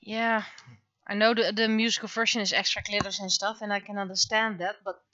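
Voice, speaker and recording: A woman's voice: medium pitch, level tone, brisk, bright, forceful tone, lo-fi sound, slight background noise. A woman chatting casually on a livestream as she works, thinking aloud to herself in short fragments. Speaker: livestreaming artist. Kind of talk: casual livestream chat while drawing.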